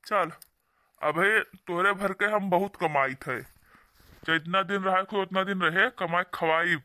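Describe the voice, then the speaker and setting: Cartoon village brother , acted by a man: Awadhi accent, high-pitched, extremely sharp, scolding and protective, very dry sound. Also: measured pace, no echo, exaggerated voice. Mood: indignant, urging. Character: protective, indignant younger brother from a poor village. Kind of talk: cartoon dialogue.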